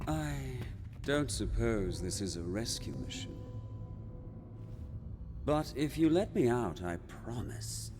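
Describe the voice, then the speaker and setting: An actor playing a sly trickster god in a video game, wry, droll and insincere. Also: male voice, mid-range voice, sing-song, takes it slowly. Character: sly, smooth-talking captive male trickster god. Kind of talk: video game cutscene acting. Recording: music behind.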